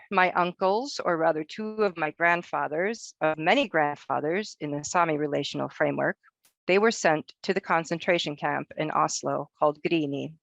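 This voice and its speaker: A woman from Northern Norway, a researcher giving a prepared academic presentation, reading her text in a measured way. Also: deep voice, no echo.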